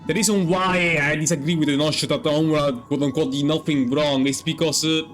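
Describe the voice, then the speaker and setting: A man giving casual playthrough commentary as a gaming streamer, musing in a halting, repetitive way. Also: fairly high pitch, steady tone, fairly bright tone. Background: game music.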